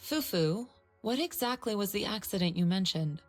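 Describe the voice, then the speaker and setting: A woman's voice: mid-range voice, lively intonation, moderate pace, soft background music, lightly acted. An actress playing a composed, authoritative female martial arts master in a video game, formal and assured. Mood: inquiring.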